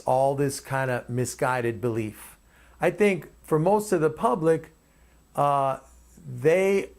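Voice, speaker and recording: Higher-voiced man giving emphatic opinionated commentary. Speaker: commentator and host.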